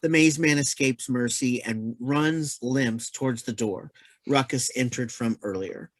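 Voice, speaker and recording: A man's voice: medium pitch, a little slow, no room sound. Dry-sounding man reading stage directions. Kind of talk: scripted narration.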